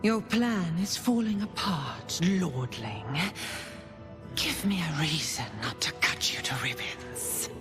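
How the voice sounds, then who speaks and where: A woman playing a menacing, bloodthirsty, contemptuous killer in a video game, with breathy, drawn-out menace. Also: low-pitched, animated, over music. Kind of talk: dramatic video game acting.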